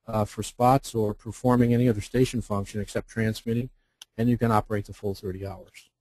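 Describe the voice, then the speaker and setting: A man's voice: mid-range voice, warm tone, no echo. A man presenting a webinar in an explanatory, instructional manner, walking through options step by step. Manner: instructional, poses rhetorical questions, lists options step by step. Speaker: presenter, amateur radio contest representative.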